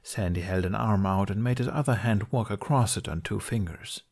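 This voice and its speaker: A man's voice: fairly low pitch, moderate pace, gravelly, darkish tone. A man reading a fantasy story aloud as a scripted audiobook-style narration. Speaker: podfic narrator reading fan fiction.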